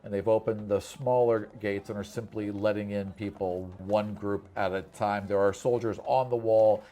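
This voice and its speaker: Man running a tabletop game, conversational and halting. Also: lowish voice, steady tone, mellow. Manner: conversational, halting and rephrasing as he describes scenes. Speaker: game master of a tabletop fantasy game. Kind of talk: improvised game narration mixed with casual table chat.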